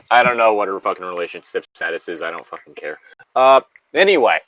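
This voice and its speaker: A man's voice: low-pitched, animated, fairly quick, fairly bright tone, no echo, dull audio. A man co-hosting a story reading show, switching between reading aloud and casual, sardonic, rambling commentary with some swearing.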